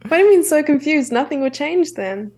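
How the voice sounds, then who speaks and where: A woman's voice: sits high, expressive, a little mellow. A young South African woman with a South African accent, chatting casually while co-commentating a chess broadcast. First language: English. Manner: chatty, self-deprecating, quick asides.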